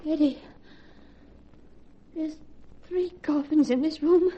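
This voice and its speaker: Very high-pitched frightened woman, old radio. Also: slow. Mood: horrified. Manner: breathless pleading, melodramatic. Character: frightened, guilt-ridden woman accomplice, near hysteria. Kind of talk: 1940s radio acting. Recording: dull, muffled vintage radio recording.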